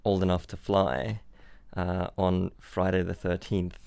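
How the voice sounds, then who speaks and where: A man's voice: low-pitched, flat delivery, unhurried, vocal fry, a little mellow, dry recording. A young man born in Switzerland and raised in Australia, a startup founder chatting casually as a podcast guest, often repeating words and restarting sentences. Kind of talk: casual interview chat. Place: London.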